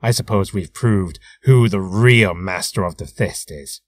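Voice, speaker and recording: A man's voice: low voice, a little slow, pitched below the performer's own voice. A man voicing a confident, disciplined martial arts hero in a cool, self-assured way for a game character reel.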